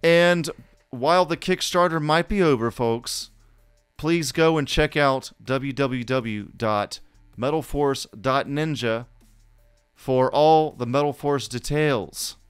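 A man's voice: mid-range voice, slow, clear, resonant tone, dry recording, soft background music. A man co-hosting a podcast, reading scripted narration. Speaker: podcast co-host.

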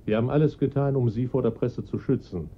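Man playing a measured, dutiful police inspector. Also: medium pitch, muffled recording, slight background noise, lightly acted. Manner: measured, formal, reassuring. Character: calm, dutiful middle-aged male police inspector. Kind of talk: radio drama acting.

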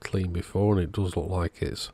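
A man's voice: low-pitched, little pitch movement, moderate pace, dark tone. A man narrating a repair job in a casual, matter-of-fact, understated way. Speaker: host of electronics repair channel.

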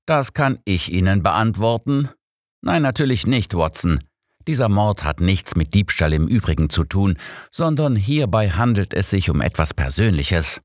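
A man voicing a knowing old male detective, curt, assured and gruff, in an audiobook. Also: on the low side, expressive, normal speed, dry recording, studio quality, close to an everyday voice. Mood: brisk, assured. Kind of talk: audiobook character dialogue.

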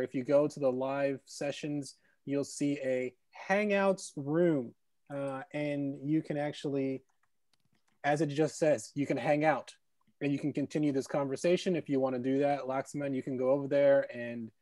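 A man's voice: medium pitch, warm tone, no echo. A man moderating a conference session over a video call, friendly and full of fillers, talking on an earphone mic at home. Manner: friendly, announcing, many fillers. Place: home room with bookshelves.

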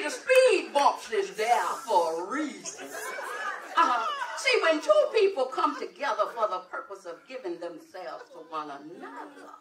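A woman's voice: fairly high pitch, fairly bright tone, distant mic, full character voice. A woman on stage playing a sassy old American grandmother who advises in a folksy way, repeating her words. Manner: folksy, repeats words, calls people baby and sugar. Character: sassy old grandmother dispensing frank marriage advice. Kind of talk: comedic stage play acting. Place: indoor stage set in a hall. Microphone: distant camera. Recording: echoey stage, picked up from afar.

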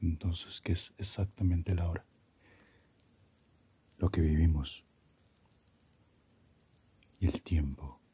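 Casting: male performer as calm, philosophical Death for ASMR roleplay; very low, husky, breathy half-whisper, gentle and musing. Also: full character voice. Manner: unhurried, musing, asks reflective questions.

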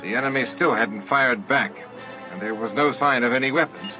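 A man's voice: medium pitch, moderate pace. A man reading scripted fiction aloud as a dramatic audiobook narrator. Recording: dull lo-fi old audiobook tape. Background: music and added sound effects.

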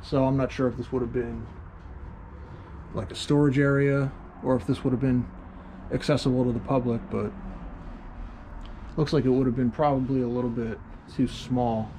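A man's voice: medium pitch, little pitch movement, normal speed, long pauses, mellow, a little hiss or hum, poor audio. A man casually narrating a walk through an abandoned train station, musing and thinking aloud as he points things out. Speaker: urban explorer vlogger. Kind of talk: casual on-location walkthrough narration.

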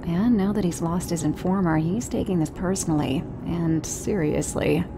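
Low-pitched cool female mercenary voiced by an actress. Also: normal speed, background noise, natural voice. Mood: wary, frank. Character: cool, pragmatic female mercenary team leader. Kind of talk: video game cutscene voice acting.

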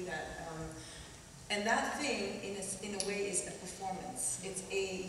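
Kenyan woman, lowish voice, reflective panel talk, roomy distant hall sound. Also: noisy background. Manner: thoughtful, halting, many pauses and restarts. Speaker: artist panelist. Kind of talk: reflective onstage conversation. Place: stage in a large auditorium. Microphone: stand mic a little away. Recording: echoey hall, distant mic.

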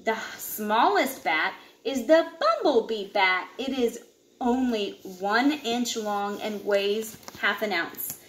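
A woman in her thirties reading a children's nature book aloud at home in an expressive way, with asides to young listeners. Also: on the high side, slow. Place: indoors, open living room and kitchen at home. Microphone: camera a short distance away. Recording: echoey room with clear background noise.

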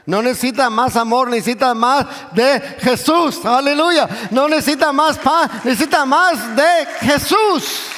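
A middle-aged man preaching in Spanish, with as his language, who peppers his sermon with hallelujahs, calls for amen, and swells toward near shouts. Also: very high voice, unhurried, few pauses, a faint crowd, slight background noise. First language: English. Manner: moves about and gestures on stage, voice swells to near shouts. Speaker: pastor. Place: large church stage. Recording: long reverb of a big church hall.

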